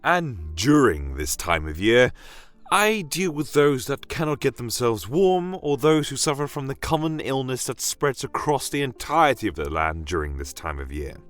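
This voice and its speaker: A man's voice: mid-range voice, sing-song, moderate pace, fairly bright tone, faint music behind. A man playing a genial fantasy doctor and alchemist, telling tales of his world in a formal, florid, theatrical way. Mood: warm, festive. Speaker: in-character narrator. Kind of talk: scripted in-character fantasy storytelling.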